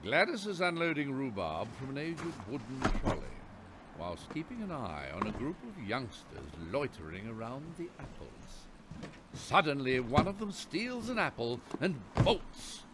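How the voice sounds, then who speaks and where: A man narrating a radio play in a wry, theatrical storyteller's manner with deliberate emphasis. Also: medium pitch, sing-song, very noisy.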